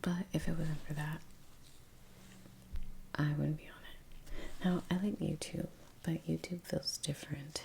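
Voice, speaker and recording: Slow, low whispering ASMR woman. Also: little pitch movement, a little hiss or hum. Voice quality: breathy whisper. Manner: unhurried, trailing off, murmured musing. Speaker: artist, ASMR video creator. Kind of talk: soft-spoken ASMR painting chat. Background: soft brush and painting sounds.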